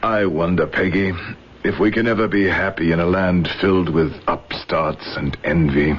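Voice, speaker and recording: An actor playing a brooding, embittered older general in a radio drama, grave and world-weary. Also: male voice, sits low, fairly even intonation, normal speed, natural voice. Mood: bitter, downcast. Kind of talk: old-time radio drama acting. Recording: noisy, dull vintage radio recording.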